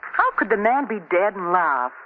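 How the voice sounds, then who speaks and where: A woman's voice: mid-range voice, very animated, moderate pace, phone-quality sound, lightly acted. An actress playing an inquisitive, outspoken lady companion to a sleuth in 1940s radio drama, earnest and questioning. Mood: puzzled, concerned. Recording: thin, noisy 1940s radio recording.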